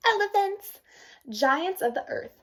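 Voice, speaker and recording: A woman's voice: very high voice, expressive, unhurried, slight background noise. A woman reading a children's nature book aloud, with casual teacherly asides to young listeners. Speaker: read-aloud presenter.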